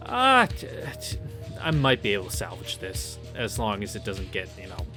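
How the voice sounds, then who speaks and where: A man's voice: on the low side, wildly swooping pitch, moderate pace, pushed and bright. A man casually reading a visual novel aloud, with hesitant, wry asides. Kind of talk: casual read-through with commentary. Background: game music, cicadas outside.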